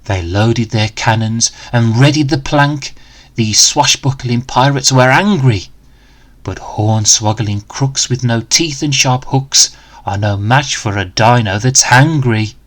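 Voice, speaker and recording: A man reading a rhyming picture book aloud to children in an expressive, dramatic, deliberate way. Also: medium pitch. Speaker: storyteller for children.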